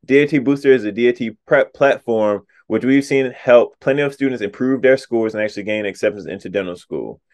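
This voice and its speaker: A man's voice: mid-range voice, brisk, hardly any pauses, darkish tone. A man hosting a show, opening with an upbeat sponsor read over a video call. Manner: upbeat, direct to audience. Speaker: show host.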